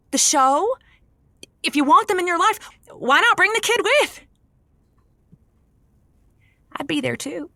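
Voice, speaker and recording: A woman's voice: very high voice, bright, forceful tone, no echo, studio quality, exaggerated voice. A woman playing a clingy, jealous and dramatic radio show co-worker in an audio comedy-drama. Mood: pleading, exasperated.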